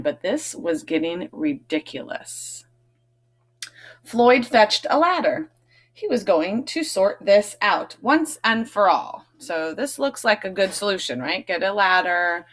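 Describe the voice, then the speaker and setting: Female picture-book reader, slightly low voice, unhurried pace, expressive emphasis with conversational asides to young listeners. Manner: expressive reading, emphasizes key words, chatty questioning asides. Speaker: read-aloud host, teacher reading to children.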